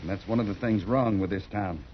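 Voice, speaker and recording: An actor playing a stiff, self-righteous bureaucrat in a 1950s western radio drama, formal and lecturing in manner. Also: male voice, fairly low pitch, a little fast, natural voice. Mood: critical, prim. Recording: muffled, noisy 1950s radio recording.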